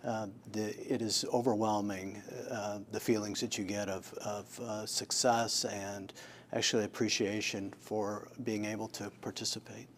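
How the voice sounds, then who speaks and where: A man, giving unscripted, halting answers as an interview guest. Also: lowish voice, little pitch movement, slight background noise. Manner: halting, pausing mid-sentence. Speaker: charity board leader, interview guest. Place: indoor office room, television shoot.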